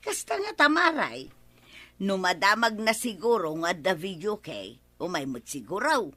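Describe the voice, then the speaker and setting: A woman's voice: medium pitch, sing-song, unhurried, bright, forceful tone, no echo, full character voice. A woman with an Ilocano accent playing a brash, carefree village wife in a comedy radio drama.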